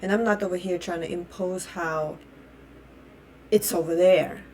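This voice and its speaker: Young Russian-born woman, native Russian speaker, fiery profane vlog rant, low-set pitch. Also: fairly even intonation, a little slow, warm tone, a little hiss or hum. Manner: blunt, heated and profane; repeats and restarts phrases. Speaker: vlogger. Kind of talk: opinion rant.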